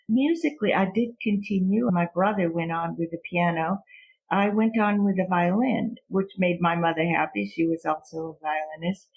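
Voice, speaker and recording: An older woman from Portugal speaks as an interview guest with a low, gravelly voice. She reflects on her past in an unhurried, thoughtful way. A husky edge sits under her words. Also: poor audio. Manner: measured, thoughtful, with small restarts. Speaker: guest, philosophy professor.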